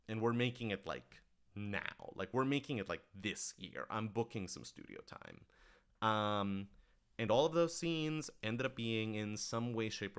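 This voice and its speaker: A man with a fairly deep, clear and resonant voice answers questions informally as a writer-director. He thinks out loud in long, winding sentences full of asides. He tends to act out his own reactions as he explains. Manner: rambling, thinking aloud, frequent hedging asides. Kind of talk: casual livestream Q&A chat.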